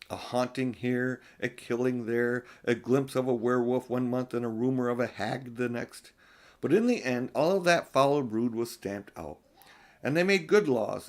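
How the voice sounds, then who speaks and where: An older man reading a children's storybook aloud as an audiobook narrator, with unhurried phrasing and emphatic stresses. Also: medium pitch. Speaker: audiobook reader. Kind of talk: storybook read-aloud with casual asides.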